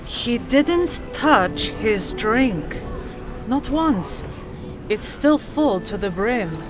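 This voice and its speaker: A woman's voice: fairly high pitch, very animated, extremely slow, over music, heavily acted. An actress playing an uneasy, observant woman traveler, intent and suspicious, in a fantasy audio drama.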